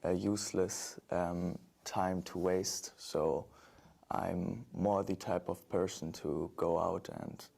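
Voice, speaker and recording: A man from Germany answering questions in a recorded sample speaking test. He speaks slowly and hesitantly, filling the gaps with ums. His voice is very deep and gravelly, with a flat, even delivery. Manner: slow and hesitant, with many ums. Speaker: exam candidate in a sample video.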